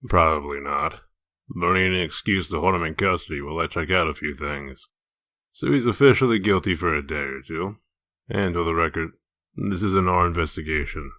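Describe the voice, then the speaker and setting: A man playing a gruff, humorless wolf-man detective in a comic dub, curt, stern and deadpan. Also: sits low, normal speed, no echo. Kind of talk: comic dub character acting.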